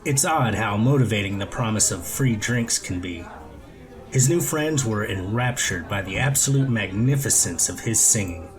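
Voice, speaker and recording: A man's voice: on the low side, lively intonation, normal speed, over music, low-quality recording. A man narrating a scripted story as an audiobook reader.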